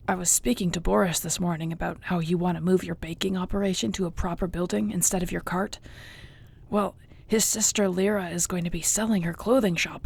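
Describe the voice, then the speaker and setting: Husky, low-voiced gentle orc girlfriend played by a woman. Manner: soft, tender, unhurried, often near a whisper. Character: affectionate orc girlfriend, seasoned warrior, gentle and content. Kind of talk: intimate audio roleplay, spoken to the listener.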